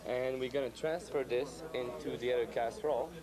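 A man's voice: medium pitch, steady tone, a little fast, crowd noise, poor audio. A man in his thirties, a guest chef casually explaining steps in a cooking demonstration. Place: restaurant bar room, indoors.

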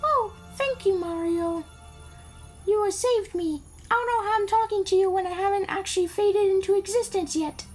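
A woman's voice: extremely high pitch, normal speed, faint music behind, pitched above the performer's own voice. A woman voicing a sweet, chatty, ditzy young video game princess in a girlish, rambling voice. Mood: grateful, gushing.

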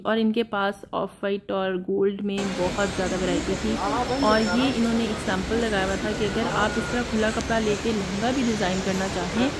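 A woman talks through a shopping vlog in an easygoing, conversational voice. Her voice sits at a middle pitch, and she often pauses on small filler sounds and drops English phrases into her speech. A faint hum of ambience sits behind her. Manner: chatty, with frequent hesitation fillers and English phrases mixed in. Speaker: shopping vlogger. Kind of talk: casual shopping narration.

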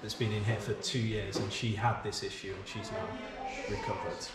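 A man's voice: on the low side, fairly even intonation, moderate pace, over music. A man with an English accent, a worker at a sea turtle rescue centre, patiently explaining to a visitor with the odd "um". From England. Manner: patient, informative, with filler "um"s. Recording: very echoey, loud crowd behind.

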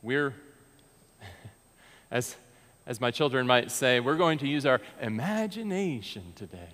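A man's voice: medium pitch, sing-song, slow, fairly bright tone. An American man in his thirties, preaching from a pulpit in a large church sanctuary in an earnest, conversational way with frequent restarts. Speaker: pastor. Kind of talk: sermon. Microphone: pulpit mic, far camera. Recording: long church reverb.

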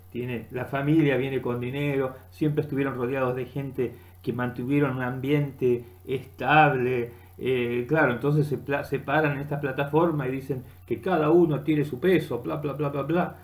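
A middle-aged man with an Argentine accent giving an unscripted, halting monologue that rambles as he searches for words, recorded on a webcam in a room. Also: mid-range voice. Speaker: vlogger. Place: small room indoors. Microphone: webcam at desk distance.

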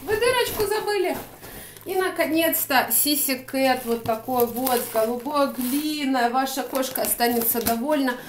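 A woman's voice: high voice, moderate pace, noisy background. Chatty young woman with a Ukrainian accent. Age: young adult. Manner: chatty, rambling, laughs easily. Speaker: vlogger. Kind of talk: casual shopping-haul chat. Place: small room indoors by a window. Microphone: phone camera at arm's length. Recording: echoey room.

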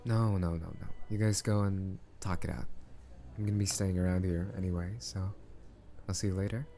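A man playing an easygoing, reassuring hospital patient in an ASMR roleplay, casual and gently teasing. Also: deep voice, steady tone, moderate pace, soft background music. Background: hospital ambience.

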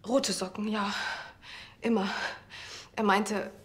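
Actress as bereaved widow, composed, medium-pitched female voice, TV drama. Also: steady tone, slow pace, lightly acted. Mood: subdued grief. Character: grieving, composed widow of an organist. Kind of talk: TV drama acting.

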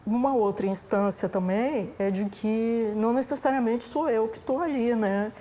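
A woman's voice: a little high, normal speed, dark tone. A middle-aged Brazilian woman explaining conversationally as an interviewed expert, often adding tag questions, on a lapel mic indoors. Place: indoors, library room.

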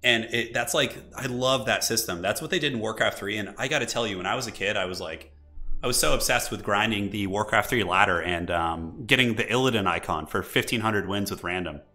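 A man live-streaming a strategy game, chatting casually and enthusiastically with viewers in a slightly raspy voice from an irritated throat. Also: on the low side, fairly quick, pushed and bright, faint music behind. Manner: enthusiastic, informal, thinking aloud. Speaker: livestreamer, esports website organizer.

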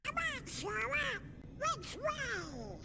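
A man's voice: very high voice, wildly swooping pitch, drawn-out words, vocal fry, very noisy, full character voice. An actor voicing a bossy, irascible male cartoon duck in a video game, garbled and quacking. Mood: impatient. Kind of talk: animated video game cutscene. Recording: game audio with loud music behind.

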